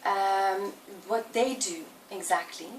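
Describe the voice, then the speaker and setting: A woman's voice: mid-range voice, takes it slowly. Belgian woman in her forties, roomy distant sound. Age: 40s. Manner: thoughtful, with fillers and self-corrections. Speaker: interviewee. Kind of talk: casual interview. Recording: roomy, distant mic with faint noise.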